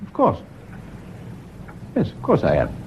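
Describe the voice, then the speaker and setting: Coaxing schoolmaster, controlled, theatrical, animated intonation, radio drama, dull slightly noisy recording. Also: male voice, medium pitch, a little slow. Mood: controlled, soothing. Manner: soothing yet bossy, theatrical. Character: coaxing, controlled schoolteacher, secret lover, hiding unease. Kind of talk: stage-style radio drama acting.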